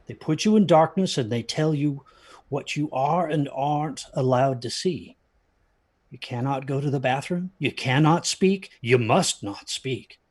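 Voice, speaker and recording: A man playing an uneasy parent in an audio drama, speaking in a halting, ominous, husky way that drops toward whispers. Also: mid-range voice, darkish tone. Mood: ominous, insistent. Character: uneasy parent warning children about haunted theaters.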